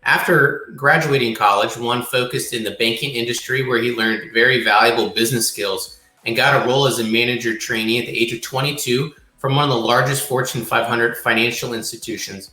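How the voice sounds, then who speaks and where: A man's voice: medium pitch, fairly even intonation, fairly quick, hardly any pauses, bright, forceful tone. An American man co-hosting a podcast, introducing and questioning a guest. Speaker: podcast co-host. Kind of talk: reading a guest introduction, interviewing. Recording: roomy, echoing sound.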